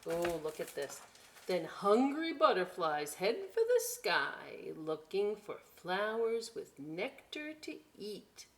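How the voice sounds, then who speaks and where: Slow-paced woman hosting children's storytime. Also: medium pitch, a little hiss or hum. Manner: expressive, drawn-out reading with exclamations; encouraging, talking directly to young children. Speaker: children's library storytime host. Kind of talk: read-aloud storytime with chatty asides.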